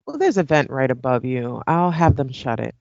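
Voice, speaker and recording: A woman's voice: rumbling low, sing-song, normal speed, darkish tone, no room sound, dull audio, full character voice. A woman playing a polite, professional current-affairs host in an audio play. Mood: casual, friendly. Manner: courteous, professional.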